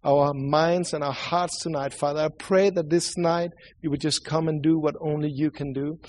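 Swedish accent guy preaching, earnest, kinda high voice, lots of restarts and repeats. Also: fairly even intonation, darkish tone. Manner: earnest, halting, with many restarts and repeated words. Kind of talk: sermon with prayer.

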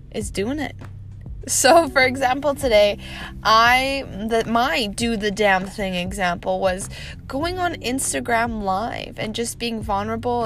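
A woman hosting her own motivational podcast, upbeat and encouraging, laughing often, a little snuffly from a cold. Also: on the high side. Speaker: podcast host. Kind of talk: motivational solo podcast talk.

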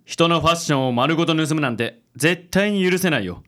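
A man's voice: a little high, animated, fairly quick, fairly bright tone. A man playing a suave, overzealous, self-styled handsome man in a comic radio drama, pompous and passionate, sprinkling in English words. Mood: indignant, passionate.

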